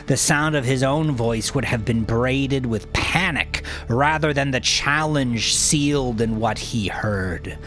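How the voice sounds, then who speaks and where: A man reading scripted fiction in a dramatic, measured way, dropping into hushed, breathy phrase endings. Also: medium pitch, soft background music. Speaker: audiobook narrator.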